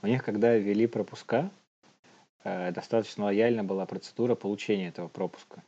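A man's voice: lowish voice, a little fast, warm tone. A man co-hosting a podcast, telling stories casually and reading out news items, on slightly muffled audio. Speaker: podcast co-host.

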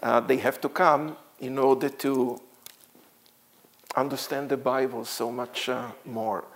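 Slow-talking Israeli man in his sixties. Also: mid-range voice, fairly even intonation. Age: 60s. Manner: halting, many fillers, frequent light laughs. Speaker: guest speaker, tour guide. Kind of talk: church talk to a congregation.

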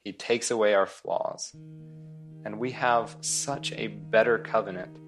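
A man delivers an expository sermon in a fairly low voice. His pace is very slow and deliberate, as a pastor reading and explaining scripture. He sets off key words with pauses and repeats them for emphasis. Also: fairly bright tone, faint music behind, low-quality recording. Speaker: pastor teaching a Bible study.

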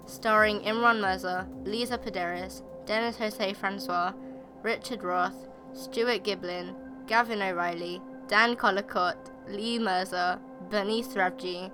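A woman's voice: medium pitch, steady tone, unhurried. A woman reading scripted closing credits for an audio drama podcast as its announcer. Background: prominent music.

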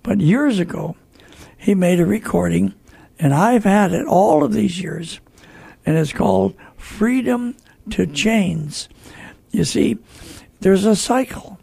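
An older American man with a gravelly, husky voice, hosting a radio talk show in a folksy, conversational way. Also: high voice, animated, slow pace, warm tone, dry recording. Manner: folksy, addresses listeners as folks.

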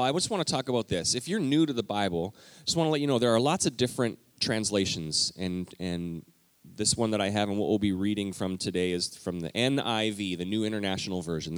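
A man preaching a conversational teaching sermon in a church, talking directly to the congregation with lively emphasis. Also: fairly low pitch, no echo. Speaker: pastor. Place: church sanctuary.

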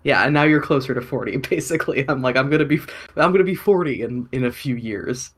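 Podcast co-host, man in his 30s, rambly, self-deprecating, even middle pitch. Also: quick pace, a little hiss or hum. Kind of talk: casual chat.

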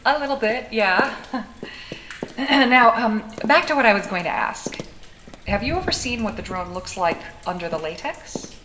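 A woman's voice: a little high, expressive, unhurried. A woman playing a tough, inquisitive character in a cyberpunk audio drama, talking in a wry, teasing, sardonic way. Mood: teasing, thoughtful. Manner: sardonic, probing, playful. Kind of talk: naturalistic audio drama acting. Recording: echoey sewer-like space, background noise.

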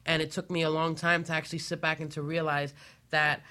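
A man's voice: a little high, level tone, brisk, bright, forceful tone. A man on a talk panel speaking casually and confessionally, candid and emphatic.

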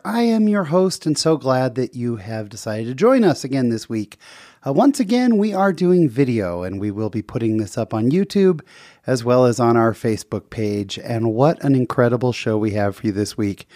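A man's voice: medium pitch, mellow, no echo. A man hosting a podcast interview in a small studio, upbeat and enthusiastic. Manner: upbeat, enthusiastic, with frequent uh fillers. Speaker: podcast host.

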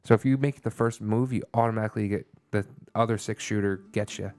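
A man's voice: lowish voice, steady tone, quick pace, darkish tone, a little hiss or hum. Casual podcast co-host man. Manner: loose, rambling, says "dude" a lot. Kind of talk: casual chat.

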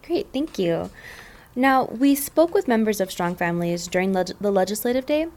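A woman's voice: mid-range voice, lively intonation, normal speed, darkish tone. A woman radio host thanking and questioning her guest politely. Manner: polite, appreciative. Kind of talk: radio interview.

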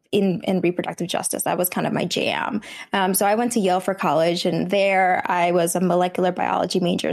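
A young woman in her twenties with a New Jersey accent and a slightly hoarse voice, talking casually as a podcast guest, with many fillers and restarts. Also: mid-range voice. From New Jersey, USA. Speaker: guest, medical student. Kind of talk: podcast interview.

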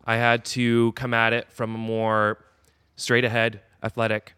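A man's voice: mid-range voice, monotone, unhurried, bright, forceful tone, clear, resonant tone, no room sound, clean studio sound. A man being interviewed on a podcast as a wrestler, talking in a cocky, boastful heel manner. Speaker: wrestler guest.

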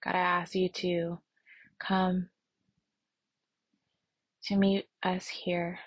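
A woman leading a guided meditation and prayer as an instructor, speaking softly and soothingly with long, unhurried pauses. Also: on the low side, monotone, no room sound.

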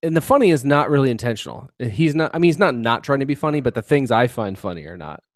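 A man's voice: mid-range voice, sing-song, quick pace, no echo, clean studio sound. A man co-hosting a casual chat about a video he is watching. He laughs often and rambles in an amused way. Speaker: co-host.

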